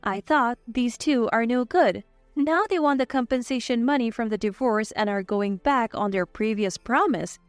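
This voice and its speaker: A woman's voice: a little high, moderate pace, no room sound, soft background music. A woman reading a scripted first-person story as its narrator.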